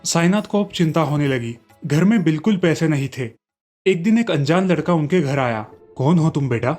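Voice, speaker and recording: A man's voice: a little high, animated, moderate pace, darkish tone. A man narrating a scripted fairy tale as a storyteller. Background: light background music.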